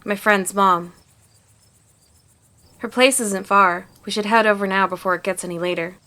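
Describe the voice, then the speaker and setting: A woman's voice: medium pitch, steady tone, normal speed, sharp tone, lightly acted. A woman playing a plucky young amateur monster hunter, informal and matter-of-fact, in a fan-dubbed visual novel. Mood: resolved.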